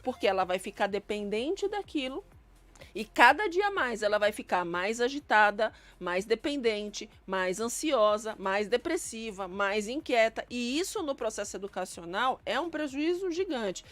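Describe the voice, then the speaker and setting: A woman's voice: fairly high pitch, animated, moderate pace, fairly bright tone. A woman, a guest psychologist, explaining earnestly and stressing her points with emphatic lists. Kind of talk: explanatory panel talk.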